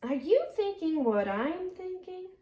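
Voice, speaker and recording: Woman voicing an eager, high-voiced young American boy builder. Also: big pitch swings, measured pace, warm tone, exaggerated voice. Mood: excited. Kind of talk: storybook character dialogue.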